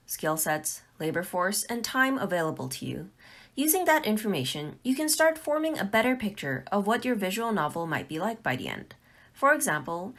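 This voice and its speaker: Woman presenter with lively, animated pitch. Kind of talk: scripted tutorial narration.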